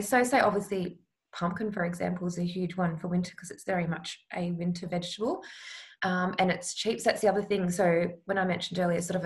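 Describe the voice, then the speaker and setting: A woman guest on a podcast, talking casually and in a friendly way, with ums and easy repeats. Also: on the low side. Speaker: podcast guest, meal-planning blogger. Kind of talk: casual interview chat.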